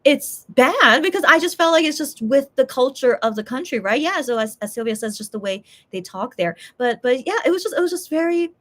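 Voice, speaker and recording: Woman, fairly high voice, casual chatty vlog, rambling, lots of fillers. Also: animated, fairly quick, hardly any pauses, a bit bright. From USA. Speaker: YouTuber, channel host. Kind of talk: casual chat with viewers.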